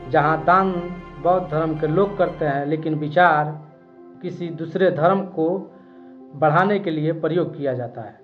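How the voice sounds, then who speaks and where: A man's voice: sits high, dull audio. A man delivering explanatory news commentary, arguing a case with rhetorical questions.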